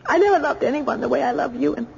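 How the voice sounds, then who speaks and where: An actress playing a devoted, sorrowful yet resolute young woman, halting and heartfelt, in a radio drama. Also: sits high, animated, vocal fry, full character voice. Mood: tender, devoted. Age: young adult. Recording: dull noisy old radio recording.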